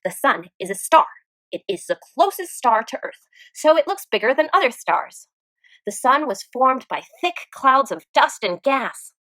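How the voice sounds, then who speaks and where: A woman teacher reading a children's nonfiction book aloud in an expressive storytime manner. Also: sits high, moderate pace, no room sound, rough recording.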